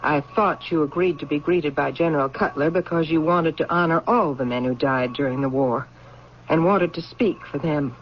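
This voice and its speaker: A woman playing a calm, firm army nurse with a measured, coaxing manner in old-time radio drama style. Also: sits low, slight background noise, natural voice. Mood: reproving. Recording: dull old radio recording.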